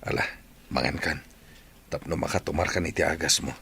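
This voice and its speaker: Deep-voiced, dutiful Filipino husband. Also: male voice, fairly quick, vocal fry, pushed and bright, a little hiss or hum, exaggerated voice. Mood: caring. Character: dutiful husband and father, fed up with his children. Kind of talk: Filipino radio drama acting.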